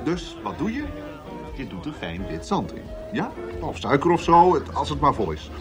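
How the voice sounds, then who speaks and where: An actor playing a breezy, eager traveller who explains things in a chatty, insistent way in a radio comedy. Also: male voice, a little high, wildly swooping pitch, moderate pace. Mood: eagerly explaining. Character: breezy, eager male traveller sharing odd ideas. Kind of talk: radio comedy drama acting. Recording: music playing behind the dialogue.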